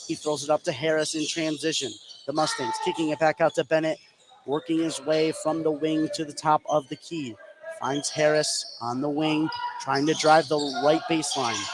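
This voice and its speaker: An American man calling live basketball play-by-play for radio from a gym. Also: fairly high pitch. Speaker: play-by-play commentator. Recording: noisy live gym broadcast.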